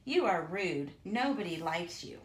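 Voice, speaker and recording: A woman's voice: fairly low pitch, sing-song, a little slow, reverberant. A woman voicing an aggrieved male toothbrush in a storybook, scolding with dramatic, emphatic indignation. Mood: taunting.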